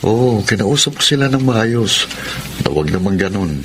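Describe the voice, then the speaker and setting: Sober, cautioning friend for radio drama, male actor; deep voice, earnest concern, clearly noisy backdrop. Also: expressive, moderate pace, close to an everyday voice. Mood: troubled. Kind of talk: Filipino radio drama acting.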